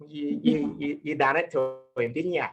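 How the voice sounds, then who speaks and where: A man voicing a cheeky, rough bloke, with slangy, ungrammatical speech. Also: fairly high pitch, moderate pace, lo-fi sound, music in the background, pitched above the performer's own voice, close to an everyday voice. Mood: teasing.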